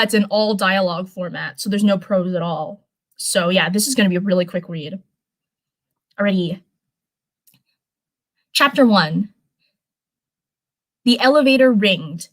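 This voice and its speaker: A woman hosting a live stream in an informal, chatty way, talking to viewers and reading fan fiction aloud. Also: medium pitch. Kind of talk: casual livestream chat and live story reading.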